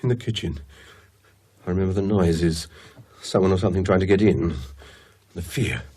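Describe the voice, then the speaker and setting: A man's voice: deep voice, moderate pace, warm tone, breathy edge. An actor playing a shaken, wry British man haunted by a curse in a radio drama, hesitant and understated in manner. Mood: shaken, puzzled.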